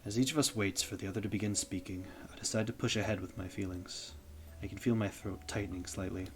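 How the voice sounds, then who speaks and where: A young man streaming, reading a visual novel aloud in a steady, even way. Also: on the low side, quick pace.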